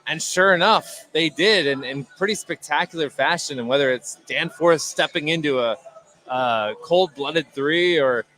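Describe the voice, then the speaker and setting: A man giving conversational live color commentary on a basketball game in a halting, hesitant way that repeats words. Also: on the high side, bright, forceful tone, low-quality recording. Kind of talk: conversational live sports analysis. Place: indoor gymnasium during a game.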